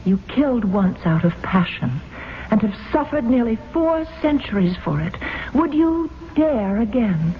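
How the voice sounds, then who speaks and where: An actress playing a calm, compassionate, fearless young woman in a 1970s radio drama, earnest and composed. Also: on the high side, a little slow. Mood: defiant, composed. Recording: phone-like vintage radio broadcast, faint noise.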